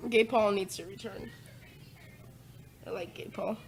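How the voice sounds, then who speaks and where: A woman guest on a casual podcast, talking in a relaxed, rambling way and swearing freely as she tells her stories. She often trails off with fillers and breaks into laughter. Her pitch sits at an ordinary middle level for a woman. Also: measured pace, vocal fry. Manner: laid-back, profane storytelling with frequent fillers and laughs. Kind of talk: casual chat.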